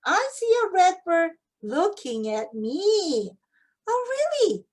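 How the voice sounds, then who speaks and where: Female, native Korean with Korean-accented English. High-pitched voice, expressive read-aloud teacher who prompts with questions. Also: slow. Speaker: English teacher, children's book reader. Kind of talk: picture book read-aloud demonstration with tips.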